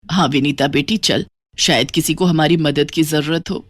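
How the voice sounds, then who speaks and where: Woman as low, subdued worried mother. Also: moderate pace, no room sound. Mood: calm, caring. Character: worried, supportive middle-aged mother of a married daughter. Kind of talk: animated moral story acting. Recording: music playing behind the voice.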